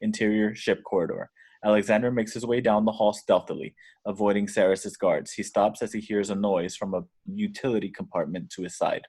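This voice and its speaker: A man's voice: fairly low pitch, steady tone, a little fast, darkish tone, breathy edge. A man narrating the action lines of a screenplay at a table read. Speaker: reader of stage directions.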